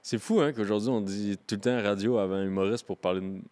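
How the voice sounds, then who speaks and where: Quick, low-voiced co-host. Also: male voice, fairly even intonation, mellow, big-room sound. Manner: quick interjections, casual. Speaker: podcast co-host. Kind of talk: casual podcast chat.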